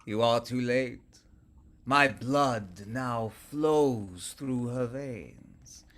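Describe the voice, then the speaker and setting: Vampire count, male actor: imperious, menacing, sharp-edged voice, drawn-out radio drama delivery. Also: medium pitch. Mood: cold menace. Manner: measured, commanding, deliberate. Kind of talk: theatrical radio play acting.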